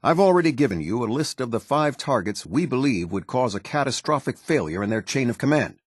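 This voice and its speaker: A man voicing a stern, loyal military captain in a video game, speaking in a formal, measured and authoritative way. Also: mid-range voice, sing-song, breathy edge, dry recording, clean studio sound. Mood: commanding. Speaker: military officer giving orders and briefings. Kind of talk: scripted video game voice acting.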